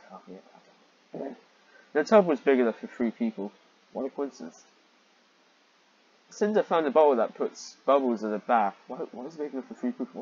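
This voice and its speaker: Male reader, husky mid-pitched voice, sarcastic and exasperated, swears freely; dull home recording. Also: a little mellow. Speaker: reader and commentator of fan fiction. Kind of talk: reading fan fiction aloud with mocking asides.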